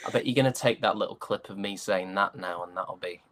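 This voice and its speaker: A British man chatting casually as an interview guest, telling anecdotes in a wry, joking, halting way. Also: low-pitched, noisy background. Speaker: interview guest, stage and voice actor.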